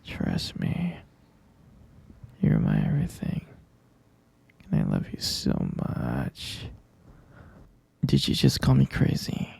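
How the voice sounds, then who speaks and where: Man playing an obsessive male captor, soft whisper, dark fry-laden tone, swooping pitch, dry close sound. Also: huge pitch swings, slow, long pauses, no room sound, full character voice. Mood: obsessive, doting. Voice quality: gravelly whisper. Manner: soft whispering, clingy pet names. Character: obsessive young male captor, possessive and sweet-talking. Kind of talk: ASMR roleplay.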